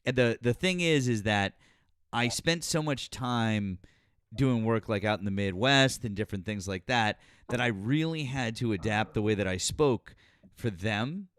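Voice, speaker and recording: A man's voice: on the low side, a bit bright, smooth, dry recording, studio quality. A man from Massachusetts hosting a live stream in a casual chat. He jokes, restarts his sentences often and speaks in a mostly general American accent with light Boston traces. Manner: halting, many restarts, joking.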